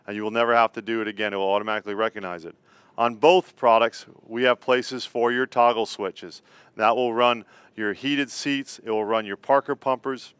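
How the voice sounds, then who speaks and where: A man's voice: lowish voice, fairly bright tone, clear, resonant tone, dry recording. A middle-aged American man presents an instructional product walkthrough, explaining step by step in a plain-spoken way. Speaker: company co-founder presenting product tutorial. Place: indoor studio set.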